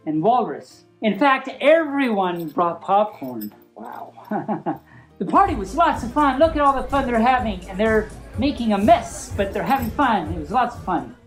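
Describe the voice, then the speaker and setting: A woman reads a story aloud with music behind her. Her mid-pitched voice swings in a lively way. She is informal and playful, wandering off into chatty asides. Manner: playful, rambling, easily sidetracked. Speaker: storyteller reading a picture book.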